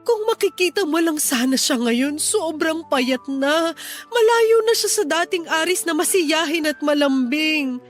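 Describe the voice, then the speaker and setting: A woman's voice: high voice, expressive, measured pace. A Filipino woman playing a desperate wife and mother pleading earnestly with her sister-in-law in a radio drama. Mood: distressed, insistent. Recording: music under the dialogue.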